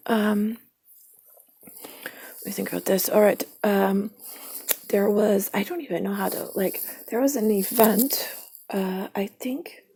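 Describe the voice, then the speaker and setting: A woman's voice: medium pitch, gravelly, warm tone, slightly rough. A woman talking in a rambling, stream-of-consciousness monologue, often sinking into a half-whisper and spelling words out letter by letter. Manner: spells words out letter by letter, drifts between topics.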